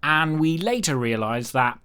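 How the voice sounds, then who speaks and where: A man's voice: fairly high pitch, lively intonation, unhurried, sharp tone, smooth, no echo. A British man hosting and narrating a tabletop roleplaying game as game master, feeling his way through sentences with self-corrections. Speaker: game master and podcast host.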